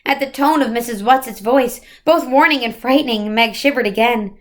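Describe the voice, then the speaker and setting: A woman reading a children's novel aloud as expressive storybook narration. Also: fairly high pitch, normal speed.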